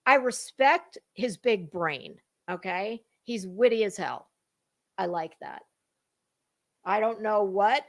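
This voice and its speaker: A woman talking as an online commentator in a casual, dramatic monologue, emphatic and halting, with deliberate pauses and repeated words. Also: on the high side.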